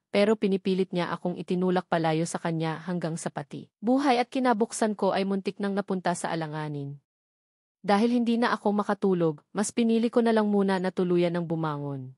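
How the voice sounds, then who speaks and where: A woman's voice: mid-range voice, darkish tone, no room sound. Woman narrating a novel, very dry sound. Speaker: audiobook narrator. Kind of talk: scripted first-person novel narration.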